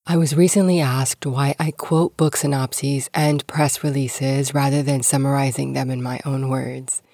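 A woman hosting a podcast, reading scripted news in a measured, clearly phrased way. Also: a bass voice, level tone, mellow, soft background music. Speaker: podcast host.

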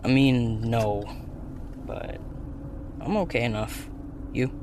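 A man's voice: medium pitch, takes it slowly, background noise, natural voice. A man playing a terse, dry activist blogger in a naturalistic audio drama. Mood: weary, gentle.

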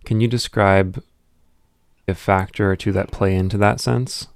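A man hosting an interview and asking questions in a calm, measured, gently probing way. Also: lowish voice, clean tone, dry recording, studio quality. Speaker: interviewer, host.

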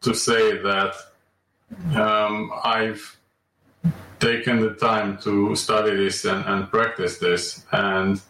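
A man from Romania, a podcast guest speaking slowly with frequent ums and uhs. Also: fairly low pitch, little pitch movement, sharp tone, slight background noise. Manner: measured and slow, many ums and uhs. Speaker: guest, alternative medicine practitioner. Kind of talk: podcast interview. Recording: echoey room, distant mic.

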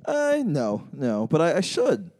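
A man's voice: a little high, wildly swooping pitch, a little slow, darkish tone, a little hiss or hum. A man co-hosting a casual sports podcast, talking in a rambling, self-deprecating way with many restarts. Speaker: podcast co-host.

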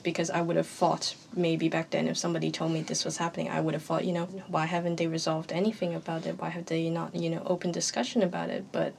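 A woman's voice: fairly low pitch, creaky, a little hiss or hum. A woman in her early twenties from Hong Kong, a university student speaking earnestly and emphatically in a roundtable discussion. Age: early 20s. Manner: earnest and emphatic, with occasional laughs.

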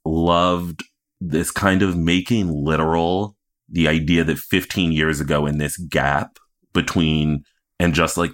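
Low-voiced man, opinionated podcast co-host. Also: a bit bright. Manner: opinionated, analytical, emphatic. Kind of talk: casual TV recap chat.

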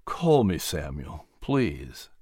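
An audiobook narrator playing a calm, kindly colonial minister who speaks as a gentle male mentor. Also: extremely low pitch, extremely slow, husky, no room sound, studio quality, voice pushed down, exaggerated voice. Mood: warm, friendly.

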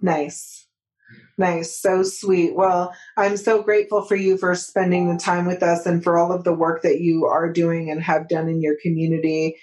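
A woman's voice: lowish voice, level tone, normal speed, darkish tone. A woman hosting a podcast interview, effusive and thankful toward her guest. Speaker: podcast host.

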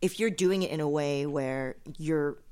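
Low-voiced American woman chatting casually. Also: unhurried, dark tone, clear, resonant tone. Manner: informal, conversational. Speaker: podcast speaker. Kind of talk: casual podcast chat.

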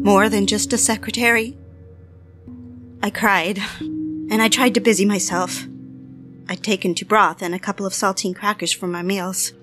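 A woman's voice: mid-range voice, close to an everyday voice. An actress playing an anxious, lovelorn woman with wounded pride in a period melodrama, genteel and dramatic. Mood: sad, reflective. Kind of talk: period melodrama acting with first-person narration.

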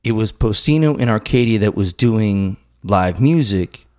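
A man's voice: lowish voice, expressive, measured pace, a little mellow. A middle-aged man hosting a casual interview, rambling and swearing freely as he tells a story. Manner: loose, profane, rambling storyteller. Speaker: podcast host.

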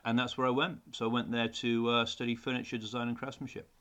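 A man's voice: medium pitch, level tone, fast talker, a bit bright. Earnest middle-aged man. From England. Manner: earnest, repeats words when he restarts. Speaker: design teacher, podcast guest. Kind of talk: conversational interview answers.

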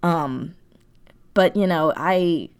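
A woman speaking casually as an interview guest, animated and self-deprecating, and quick to laugh. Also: lowish voice, smooth. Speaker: interview guest, audio drama writer and performer.

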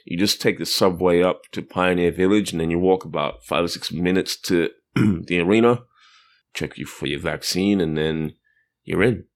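Deep-voiced, measured podcaster. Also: male voice. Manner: measured, descriptive, thoughtful. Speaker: podcast co-host reporting from the game. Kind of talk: casual sports podcast chat.

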